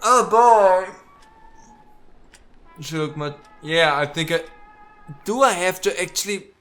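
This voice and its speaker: A man giving casual let's play commentary as a gaming video host, haltingly thinking out loud as he goes. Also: high-pitched, expressive, faint music behind.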